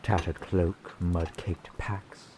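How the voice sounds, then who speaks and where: A man playing a gruff, grumbling but reluctantly kind fantasy town blacksmith in a slow, dry ASMR roleplay. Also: sits low, background noise. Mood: dry, reproachful. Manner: curt, grumbling, dry, wordy sarcasm. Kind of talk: ASMR roleplay, slow scripted character acting. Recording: steady rain sound behind the voice. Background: rain falling.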